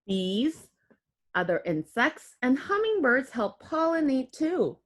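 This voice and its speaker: Lady reading a kids book out loud, kinda high voice, really slow n singsongy, teacher style explaining stuff. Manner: expressive, child-directed, with audible gasps and exclamations. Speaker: read-aloud teacher for young children. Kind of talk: picture-book read-aloud with simple explanatory asides.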